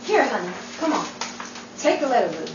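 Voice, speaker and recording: A woman acts out a kindly, genial forties radio-play character. Her pitch is high and swings freely. The recording is noisy and distant, in an echoey room. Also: big pitch swings, a little fast, lo-fi sound. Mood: earnest, gentle. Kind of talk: 1940s-style radio play acting.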